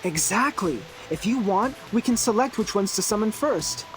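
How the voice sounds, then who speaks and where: A man's voice: high voice, lively intonation, moderate pace, faint music behind. An actor playing an eccentric, theatrical young man who loves horror, in a video game. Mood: smug, playful. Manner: theatrical, teasing, grandiose. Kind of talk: English video game character acting.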